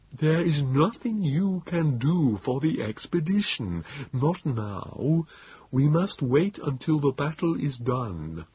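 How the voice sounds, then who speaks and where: Small frail nonhuman creature, storybook character, raised wheezy voice, very slow, halting, out of breath, pleading. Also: male voice, medium pitch, no room sound, highs cut off, pitched above the performer's own voice, full character voice. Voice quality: thin liquid voice, wheezing and breathy.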